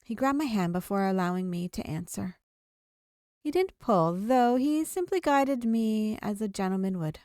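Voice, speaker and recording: A woman's voice: mid-range voice, animated, moderate pace, darkish tone, clear, resonant tone, dry recording, clean studio sound. A woman streamer reading a visual novel's story aloud, now and then stumbling over a word and rereading it. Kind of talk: read-aloud story narration.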